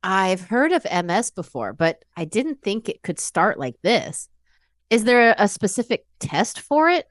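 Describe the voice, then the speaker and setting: A woman's voice: medium pitch, very animated, measured pace, no room sound, studio quality. A woman playing a worried, forthcoming patient in her mid-fifties, earnest and conversational, in an acted exam-practice consultation. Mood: concerned.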